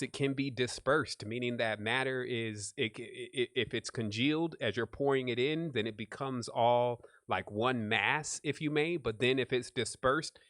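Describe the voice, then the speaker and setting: A man hosts a spiritual question-and-answer panel in an informal, explanatory manner. His mid-pitched voice is recorded close and dry, with no room sound. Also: a bit bright, no room sound. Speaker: panel host.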